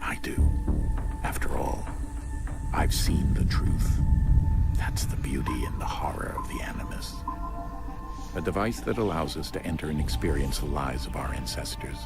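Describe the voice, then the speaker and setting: A very low-pitched, grave and weary father narrates a video game cinematic. His delivery is solemn, measured and ominous, set over loud music. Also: huge pitch swings, whispered, heavily acted.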